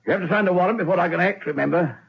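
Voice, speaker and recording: A man's voice: sits high, very fast, creaky, slightly rough, exaggerated voice. An actor playing a proud, dutiful prison hangman who is losing his nerve, in an old radio drama style with stammering protests. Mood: stiff, dutiful. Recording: dull old radio recording.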